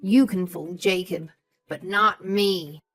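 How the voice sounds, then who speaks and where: A woman's voice: on the low side, takes it slowly. A woman playing a haughty, suspicious elderly mother-in-law, scornful and condescending, in a scripted audio story. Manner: condescending, sharp, calls others sweetheart and darling.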